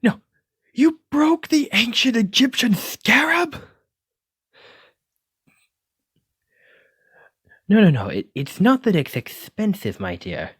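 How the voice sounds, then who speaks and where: Man acting a fussy, kindly wizard, animated pitch. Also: a little slow, husky, dry recording, clean studio sound, heavily acted. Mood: alarmed. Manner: fussy, apologetic, gently courteous, stammering when flustered. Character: clumsy, kindly wizard bookseller teaching magic. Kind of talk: ASMR roleplay addressed to listener.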